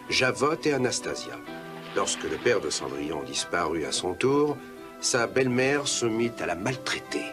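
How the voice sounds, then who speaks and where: A man playing the storyteller of a children's cartoon fairy tale, reading scripted narration. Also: low-pitched. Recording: music under the voice.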